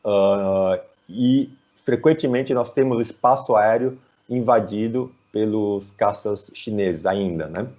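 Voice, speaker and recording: A man with a Brazilian Portuguese accent explaining things conversationally as an interview guest, halting and often adding little tag questions, on dull online call audio. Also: fairly low pitch, mellow. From São Paulo, Brazil. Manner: halting, frequently tagging phrases with "né".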